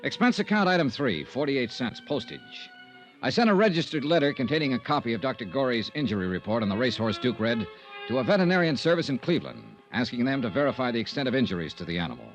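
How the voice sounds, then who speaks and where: An actor playing a wry, unflappable insurance investigator in old-time radio detective style, dry and laconic. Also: male voice, mid-range voice, very animated, fast talker, soft background music, natural voice. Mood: brisk, matter-of-fact. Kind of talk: old-time radio detective acting, first-person narration. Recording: muffled vintage radio recording.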